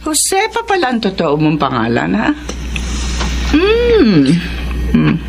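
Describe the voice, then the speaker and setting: An actress playing a domineering, stingy older sister and mistress of the house in a Tagalog radio drama, scolding and sarcastic with a Filipino accent. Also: female voice, medium pitch, huge pitch swings, slow pace, over music, exaggerated voice. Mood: stern, commanding.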